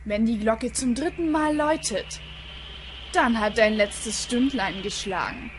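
Woman acting a scheming king over clear noise. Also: on the high side, unhurried, lightly acted. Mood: gloating, menacing. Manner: theatrical, menacing. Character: scheming, haughty villain king in a stage play.

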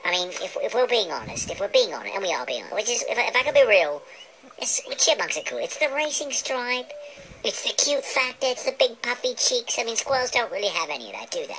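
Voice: medium pitch, sing-song, fast, bright, forceful tone. An actor voicing a cocky, rambling British male chipmunk with an attitude for a comic kids' radio show. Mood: boastful. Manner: rambling, insistent, boastful, mildly defensive. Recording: noisy off-screen capture of radio playback.

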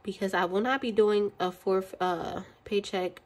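A woman's voice: medium pitch, steady tone, a little slow. A young woman with a cold and a stuffy voice, chatting casually and counting money aloud in her budgeting vlog. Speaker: budgeting channel host. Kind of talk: casual chat while counting cash. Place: indoors at a desk.

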